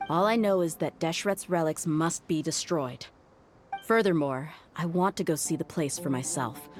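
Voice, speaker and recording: Woman voicing a tough merc girl, low steady voice, sighs a lot, music behind. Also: close to an everyday voice. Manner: low-key, resolute, sighing. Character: tough, resolute young female mercenary.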